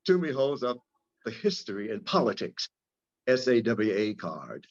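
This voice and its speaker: A man's voice: mid-range voice, very animated, normal speed, dull audio. A man reading out screenplay stage directions as scripted narration.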